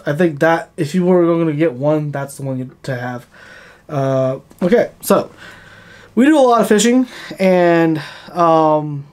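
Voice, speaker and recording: A man in his thirties, talking casually to camera about his fishing gear. He keeps checking in with "okay?", recorded in a plain indoor studio. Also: on the high side. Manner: relaxed, chatty, often checks in with "okay?". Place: plain indoor studio against a grey wall.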